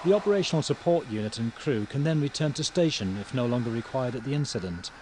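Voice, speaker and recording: A man reading scripted instructional narration for a training film in a formal, measured way. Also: mid-range voice, expressive, darkish tone. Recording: noisy 1990s training video, engine sounds.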